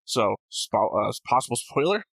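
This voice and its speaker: A man's voice: medium pitch, very animated, moderate pace, vocal fry, fairly bright tone, husky, dry recording. A man co-hosting a reaction show, talking casually and argumentatively, picking apart and correcting what his co-host says.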